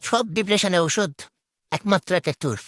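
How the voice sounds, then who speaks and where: A woman's voice run through a pitch-shifting voice-changer effect, speaking in a short social media clip. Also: animated, a little fast, no echo. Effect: voice-changer filter shifting the pitch.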